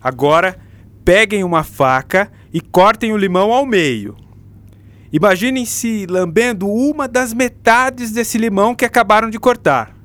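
A man's voice: high voice, lively intonation, measured pace, a bit bright. A man playing a patient, engaging literature teacher in a Brazilian radio drama, coaxing and explaining as he goes. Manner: didactic, coaxing. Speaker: actor.

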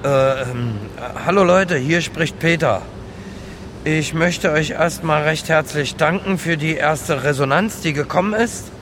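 Actor as higher-pitched, hesitant homeless man, traffic rumble. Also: natural voice. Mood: hesitant, grateful. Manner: halting, stammering, unsure. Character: Peter, hesitant homeless man with naive criminal dreams. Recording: outdoor traffic rumble and street noise.

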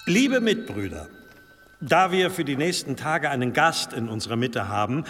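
An authoritative abbot in a radio play, speaking formally in a long reverberant hall. His mid-pitched voice moves through broad, preacherly rises and falls. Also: big pitch swings, moderate pace, fairly bright tone, breathy edge. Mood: solemn, gracious. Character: solemn, authoritative monastery abbot. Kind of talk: German radio drama acting.

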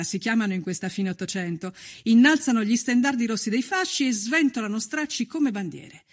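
A woman narrating a scripted historical documentary with solemn, deliberate, measured phrasing. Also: on the high side.

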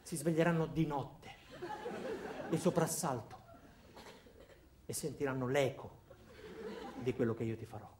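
An actor playing an ordinary man turned bitter and cruel, delivering a deliberate, theatrical first-person monologue for a radio drama. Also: medium pitch, noisy background, close to an everyday voice. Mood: menacing, obsessive. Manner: theatrical, deliberate, swinging from loud to hushed.

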